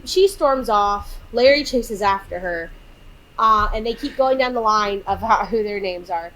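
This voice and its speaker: A thirty-year-old woman co-hosting a podcast, recapping a film in casual chat, animated and exasperated as she acts out quotes. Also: a little high, poor audio. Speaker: podcast co-host.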